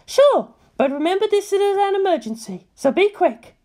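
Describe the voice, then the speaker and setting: Woman acting bossy young officer lady, swooping high. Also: very animated, moderate pace, dark tone. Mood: brisk. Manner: exclaiming, teasing, indignant.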